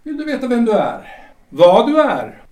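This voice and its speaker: A wry male guide to the dead, played by a man for an audio drama, who probes and reads out verdicts with measured pauses. His voice sits high and swoops lively across its range. The recording is roomy and echoey. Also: exaggerated voice. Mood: probing. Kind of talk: amateur audio drama acting. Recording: echoey room.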